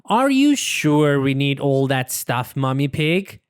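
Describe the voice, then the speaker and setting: A mild father pig from a children's storybook, read by the narrator, polite and a little fussy. He speaks slowly with lively intonation. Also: mid-range voice, clear, resonant tone, dry recording, clean studio sound. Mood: doubtful.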